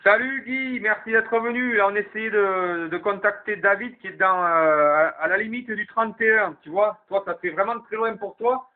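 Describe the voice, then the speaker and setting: A man's voice: high-pitched, moderate pace, few pauses. A man chatting casually over CB radio, thinking aloud as he passes the turn to other operators. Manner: informal, colloquial, thinking aloud. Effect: CB radio transmission. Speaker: amateur CB radio operator leading a round of calls. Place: CB radio link in FM. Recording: tinny narrow-band CB radio.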